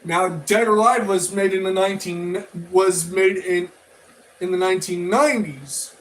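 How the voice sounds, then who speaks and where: A man giving rambling, casual opinion commentary as a review vlogger, halting and often repeating and restarting his phrases. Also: sits high, poor audio. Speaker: toy and media review vlogger.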